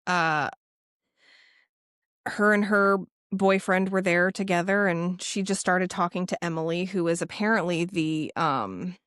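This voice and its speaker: A young woman co-hosting a podcast, telling a story in an animated, casual way with laughs. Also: on the low side, a little slow, smooth, no room sound, studio quality. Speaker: podcast co-host. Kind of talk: casual chat.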